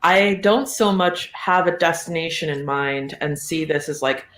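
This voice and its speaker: A podcast guest with a mid-pitched voice, talking casually and reflectively in a meandering, thoughtful way with a readiness to chuckle. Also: unhurried, fairly bright tone. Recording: roomy, echoey sound.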